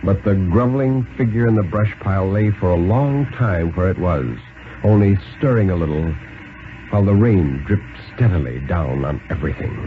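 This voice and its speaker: A man narrating thriller stories in a measured, theatrical 1950s radio reading style. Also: deep voice, soft background music. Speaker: story narrator. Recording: muffled 1950s radio recording with hiss.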